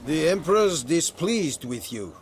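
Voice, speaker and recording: A threatening imperial soldier in a fantasy video game. He is stern and commanding, with strongly swinging pitch, over faint ambient noise. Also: sing-song, unhurried. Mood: stern threat. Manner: clipped, commanding.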